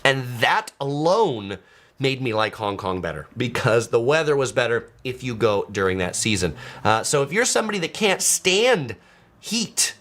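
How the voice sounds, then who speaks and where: Chatty American man, lively voice. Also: medium pitch, normal speed. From San Diego, California, USA. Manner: chatty, loose, many filler words. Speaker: travel vlogger. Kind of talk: casual live-stream chat reading viewer comments.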